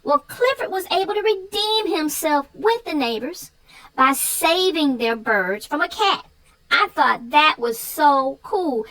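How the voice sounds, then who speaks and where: A woman's voice: sits high, expressive, unhurried, bright, forceful tone, poor audio. A woman co-hosting a children's read-aloud show, chatting about a story with young viewers.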